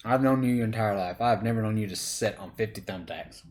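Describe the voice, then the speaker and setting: A man's voice: fairly low pitch, a little fast, darkish tone. Teasing middle-aged man, chatty dad. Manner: teasing, chatty, laughs easily. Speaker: host, father watching with his son. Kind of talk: casual chat over wrestling footage.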